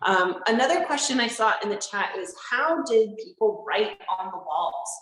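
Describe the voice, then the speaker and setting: A woman's voice: mid-range voice, lively intonation, normal speed, fairly bright tone, echoey room, rough recording. A woman park interpreter giving a live educational talk from inside a historic barracks, explaining simply and answering viewers' questions. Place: indoors in a large historic barracks building.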